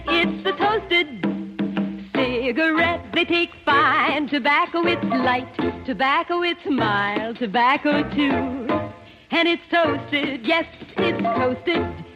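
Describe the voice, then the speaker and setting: A woman's voice: sits high, animated, takes it slowly. A woman singing a 1950s advertising jingle. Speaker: jingle singer. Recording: dull old recording with loud music.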